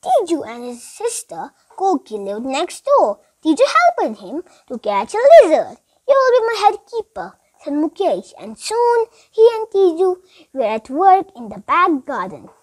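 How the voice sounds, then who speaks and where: A young schoolchild with a high voice reads a story aloud. The delivery is very lively and emphatic, swinging up and down as in a class recitation, with small stumbles over the words. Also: a little slow. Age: child, about eight.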